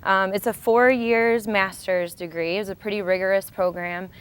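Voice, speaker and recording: An American woman presents an informal educational show in a mid-pitched voice. Her tone is smooth and clean, with a clear delivery suited to explaining health practice to viewers. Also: fairly even intonation, moderate pace. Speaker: show host, acupuncturist.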